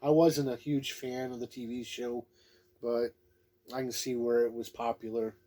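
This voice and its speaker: A man's voice: medium pitch, little pitch movement, moderate pace, mellow. A man giving a casual, unscripted review in a folksy, plain-spoken, laid-back way. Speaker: tabletop game book reviewer.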